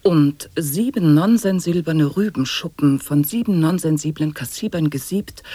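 An actress as a deadpan reciter of tongue-twisting nonsense in a radio play, articulating each syllable precisely. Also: female voice, deep voice, no echo. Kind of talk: avant-garde radio play recitation of sound poetry.

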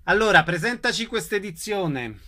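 A man hosting a radio arts program, introducing guests and signing off in a brisk, friendly way. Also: sits high, very animated, sharp tone. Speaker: radio host.

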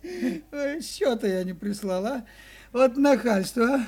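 Slow, creaky, fussy male shopkeeper. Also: very high-pitched, lively intonation, darkish tone, heavily acted. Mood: indignant. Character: indignant, fussy male sausage-shop merchant. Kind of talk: 1970s Soviet audio play acting.

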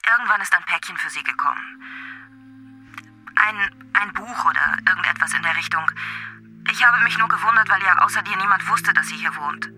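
A woman's voice: mid-range voice, a little fast, pushed and bright, faint music behind. An actress playing a guarded, tired woman who gives short, evasive answers in an audio drama. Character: woman friend, guarded and weary.

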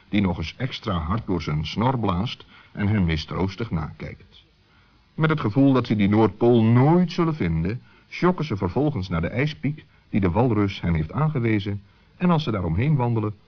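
A man's voice: on the low side, slightly rough. A man narrating a scripted children's story as the storyteller. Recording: muffled old sixties recording.

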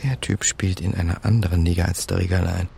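Sly crook's low, gravelly inner voice. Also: male voice, fairly even intonation, brisk, darkish tone, slight background noise. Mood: wry, calculating. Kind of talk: radio play inner monologue.

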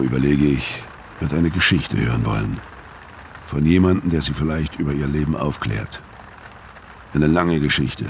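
A weary ex-convict in a radio crime drama, with an extremely low voice full of gravel. He is terse and guarded, telling his own story with understatement over noticeable background noise. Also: heavily acted. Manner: laconic, understated. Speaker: narrator and lead character. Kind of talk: German radio crime drama, first-person narration and dialogue.

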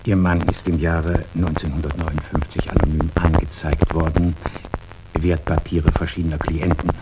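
An actor playing a businesslike, discreet private detective in a 1960s German radio crime drama, speaking formally and deliberately with small hesitant pauses. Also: male voice, extremely low pitch, little pitch movement, muffled recording, very noisy.

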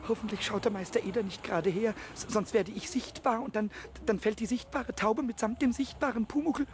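A man playing a mischievous, childish little kobold in a German children's radio play, with a squawky, raspy voice and excitable, repetitive exclamations. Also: high-pitched, a little fast, noisy background. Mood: anxious.

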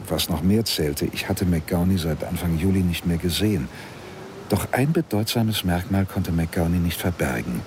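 A man's voice: low-pitched, background noise. An actor playing a probing, insistent male lawyer who tells his own story in a German radio play, with a dry, pressing manner. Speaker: radio drama actor, narrator.

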